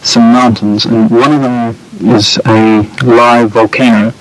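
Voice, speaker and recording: Male interview guest, New Zealand accent, mid-range voice, steady and explanatory, with faint hiss from an old radio recording. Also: mid-range voice, low-quality recording. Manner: measured, explanatory, with frequent ums and uhs.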